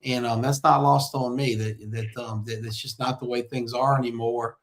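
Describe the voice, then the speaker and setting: A man's voice: medium pitch, flat delivery, normal speed. A middle-aged man hosting a conversational local interview show, speaking in an effusive, affirming way. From small-town Louisiana, United States.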